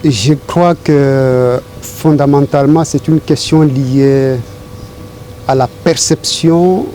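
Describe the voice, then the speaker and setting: A middle-aged man from Congo answering interview questions in a deliberate, formal, rhetorical way. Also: medium pitch, steady tone, warm tone, a little hiss or hum. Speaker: interview guest.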